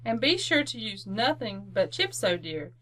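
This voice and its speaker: A woman playing a helpful aunt who gives crisp, reassuring, instructive advice in an old-time radio commercial. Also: fairly high pitch, sing-song, normal speed, fairly bright tone, slight background noise. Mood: helpful, cheerful. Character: helpful, reassuring aunt selling laundry soap.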